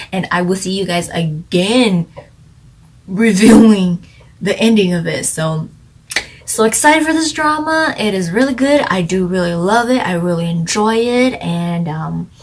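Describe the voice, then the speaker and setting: A woman chatting casually as she reviews a drama, rambling and speculating with lots of fillers. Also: on the low side. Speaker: drama reviewer, channel co-host.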